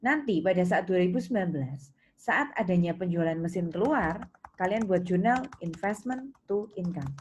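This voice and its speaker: A woman teaches in a dark, mellow voice that sits a little low. She explains things informally, like a tutor talking to students. She is patient, repeating and rewording her points as she goes. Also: animated, normal speed, slight background noise. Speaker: accounting teacher. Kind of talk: explanatory tutorial lecture in casual spoken register.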